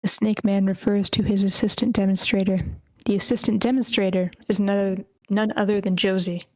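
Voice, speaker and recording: A woman reads screenplay action lines in a measured, level voice with very little rise and fall. Her sound is dry and close, and she now and then trips on a word during the table read. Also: medium pitch, level tone, a little mellow, no room sound, poor audio. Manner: measured, slightly stumbling reading. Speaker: stage directions reader. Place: online meeting.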